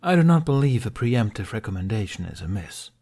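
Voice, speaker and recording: A man's voice: on the low side, big pitch swings, a little fast, a little mellow. A man voicing a composed, cryptic man in an audiobook, speaking with formal, understated dry politeness. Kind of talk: audiobook character voice.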